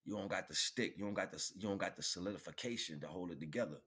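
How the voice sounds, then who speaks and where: A man's voice: sits low, fast, a bit bright, breathy edge. Man preaching self-worth in an improvised monologue. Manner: preaching, emphatic repetition, colloquial phrasing. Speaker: livestream host, motivational speaker. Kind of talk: impassioned improvised motivational monologue.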